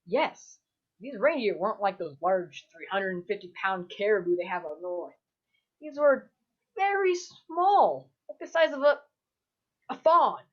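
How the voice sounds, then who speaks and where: A woman's voice: mid-range voice, big pitch swings, unhurried. A young woman acting in a radio play as a parent recounting a Christmas Eve visit with dramatic wonder, over a video call. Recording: dull video call audio.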